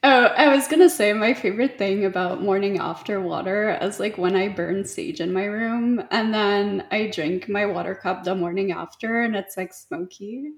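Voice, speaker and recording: A woman's voice: mid-range voice, normal speed, hardly any pauses. A young woman from Canada co-hosting a casual podcast chat, just woken up, talking in a breezy, giggly way full of "like". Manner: breezy, giggly, filler-heavy, "like" every few words. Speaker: podcast co-host. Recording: roomy echo.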